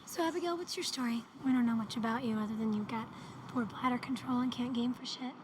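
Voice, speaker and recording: A woman's voice: fairly high pitch, fast talker, a little hiss or hum, natural voice. An actress playing a young woman in a horror film. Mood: pointed.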